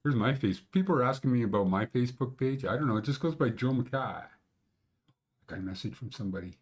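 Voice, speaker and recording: A man's voice: medium pitch, quick pace. Older canadian man, rambling, blunt and jokey, casual screen-share talk, small home room, desk webcam mic. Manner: rambling, blunt, joking, sometimes crude. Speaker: mountain conditions show host.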